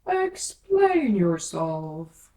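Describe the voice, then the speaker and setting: A woman voicing a large storybook caterpillar, languid and stern, with curt, drawn-out, scornful speech. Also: on the low side, big pitch swings, warm tone, exaggerated voice. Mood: stern, demanding. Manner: drawn-out, curt, sleepy delivery. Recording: roomy echo, distant from mic.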